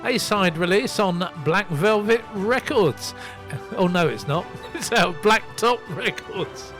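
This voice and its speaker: A man presents a soul music radio show in a casual, chatty way. His voice sits a little high, and he pokes fun at himself while running through record details. Some music plays behind him. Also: animated, takes it slowly, a bit bright. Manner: chatty, self-mocking, reading out record details. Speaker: radio host and DJ.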